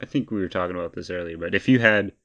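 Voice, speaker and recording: A man with a lowish voice co-hosts a casual gaming podcast. He explains things in plain, informal language and banters easily with his partner. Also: very fast, fairly bright tone, lo-fi sound. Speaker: podcast co-host.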